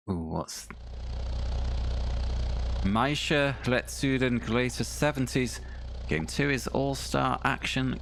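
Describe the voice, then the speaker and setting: A man streaming a racing game, with a croaky voice, chatting to his viewers in a dry, offhand way. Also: mid-range voice, a bit bright, a little hiss or hum. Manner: chatty, dry and offhand, talking to chat while playing. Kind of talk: casual gameplay commentary.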